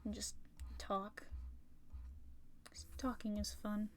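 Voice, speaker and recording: Young woman, gravelly vocal fry, slight rasp, throat worn from talking, rambling sweary livestream chat, faint background noise. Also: mid-range voice, little pitch movement, slow pace. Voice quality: slightly raspy. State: tired, sore throat from long talking. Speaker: art streamer. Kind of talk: casual livestream chat while drawing.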